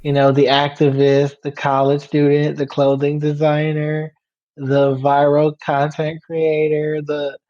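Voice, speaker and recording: A man's voice: fairly high pitch, a little slow. A young man from Guyana, a podcast guest chatting casually and laughing easily. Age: young adult. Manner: relaxed, laughs easily, talks in loose run-on phrases. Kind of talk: casual interview chat. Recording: somewhat dull, muffled sound.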